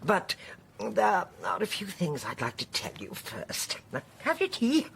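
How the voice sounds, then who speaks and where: A woman's voice: on the low side, huge pitch swings, a little slow, slight background noise, full character voice. An actress playing a genteel, haughty older woman in a radio drama, a chatty and unrepentant poisoner with an imperious, emphatic manner. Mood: genteel, hospitable.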